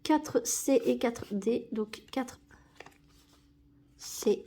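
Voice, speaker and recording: A woman's voice: a little high, extremely slow, gravelly, warm tone, a little hiss or hum. A young woman with a cold, chatting casually and correcting herself with many fillers as she sticks stickers into an album. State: has a cold. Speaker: YouTuber opening sticker packs.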